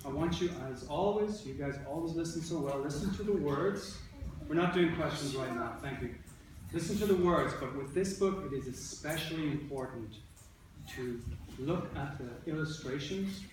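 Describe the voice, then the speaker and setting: A man's voice: a little high, normal speed. A man working as an elementary school teacher, reading aloud to young children and talking with them in an instructive way, repeating and rephrasing. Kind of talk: interactive read-aloud to a class of young children. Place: indoor classroom. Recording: roomy, echoey, distant mic with background noise.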